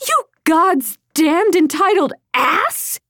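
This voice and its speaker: A woman's voice: fairly high pitch, lively intonation, moderate pace, a little mellow, dry recording, studio quality, pitched above the performer's own voice. A woman voicing a stubborn, fiery young woman healer in an audiobook, with a defiant, strident manner. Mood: furious shouting. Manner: indignant and strident.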